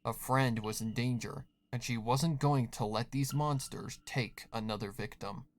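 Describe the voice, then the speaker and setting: Male narrator, average pitch, scripted horror fiction, third-person storytelling. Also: steady tone, a little slow, slight background noise.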